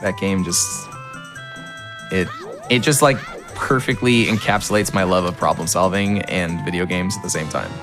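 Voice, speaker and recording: A man, a speedrunner, chatting casually while playing, his voice a little raspy from being ill, talking with lots of fillers and restarts. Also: low-pitched, normal speed. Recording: music playing behind.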